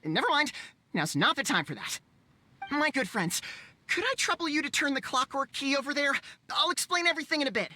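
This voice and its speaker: A woman's voice: high-pitched, lively intonation, brisk, pushed and bright, slight background noise. A woman voicing a dramatic, polite, easily exasperated fantasy townsperson in a video game, with theatrical, courteous phrasing.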